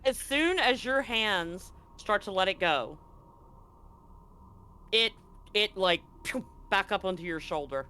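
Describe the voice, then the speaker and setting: A man acting as game master, narrating a tabletop roleplaying game in a deliberate, halting way. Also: sits high, lively intonation, sharp tone, clean tone.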